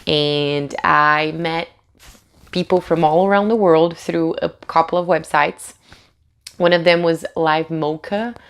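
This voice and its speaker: Low, gravelly woman. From Brazil. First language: Portuguese. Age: late 20s. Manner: chatty, with many fillers and restarts. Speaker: English teacher, channel host. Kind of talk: casual talk to camera.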